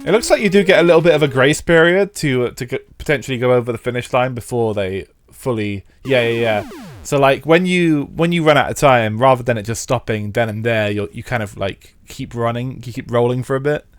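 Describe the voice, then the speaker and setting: A man's voice: medium pitch, fairly quick, dry recording. Stuffy-nosed man streaming a retro racer. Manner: rambling, chatty, talks to chat viewers. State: stuffy from a head cold. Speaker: streamer playing a retro racing game. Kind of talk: casual live-stream commentary.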